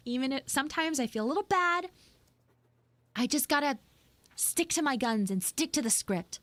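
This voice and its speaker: A woman voicing a defensive, flustered tsundere anime schoolgirl who acts mean but is secretly soft, in an improvised livestream roleplay. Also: high voice, lively intonation, no echo. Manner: defensive, pouty, halting and repetitive. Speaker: livestreamer and VTuber voice actress. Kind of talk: improvised live audio roleplay with chat asides.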